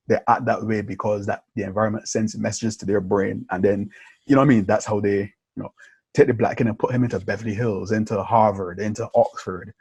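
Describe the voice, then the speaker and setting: A man hosting a podcast, telling stories in an animated, chatty way and constantly checking in with his listener. His voice sits low and has a husky grain. The sound is dry and close, with no room around it. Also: no room sound. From Barbados. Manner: animated storytelling, keeps checking in with "yeah?" and "right?". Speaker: podcast host. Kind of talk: casual conversation.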